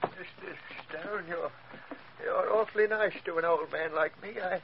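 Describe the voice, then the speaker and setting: High-voiced, meek old tramp. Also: male voice, creaky. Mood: humbly grateful. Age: older. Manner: halting, humble. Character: meek, humble, grateful old male tramp. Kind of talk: 1940s radio acting. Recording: dull, noisy 1940s radio recording.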